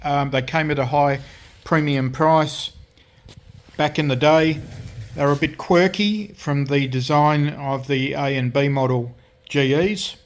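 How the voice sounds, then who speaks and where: Halting man explaining radio repairs. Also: medium pitch. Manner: halting, many ums and self-corrections; technical, hands-on explaining. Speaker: radio restorer. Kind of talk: casual workshop show-and-tell.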